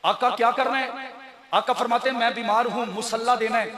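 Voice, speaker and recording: A man's voice: very high-pitched, fairly even intonation, a little fast. A man with a Pakistani accent preaching a fiery sermon, rhetorically repeating phrases and calling on listeners to answer. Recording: echoey PA with loud crowd behind. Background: crowd responding.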